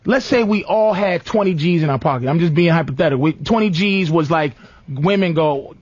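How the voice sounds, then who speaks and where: A man's voice: fairly high pitch, fairly quick, a little mellow, dry recording, dull audio. A man hosting a talk show, arguing in a heated comedic debate with insistent, slangy interruptions.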